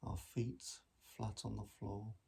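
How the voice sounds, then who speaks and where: A man guiding a meditation in a gentle, measured manner with long pauses between phrases. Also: on the low side, flat delivery, gravelly, husky. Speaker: mindfulness meditation teacher. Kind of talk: guided body scan meditation.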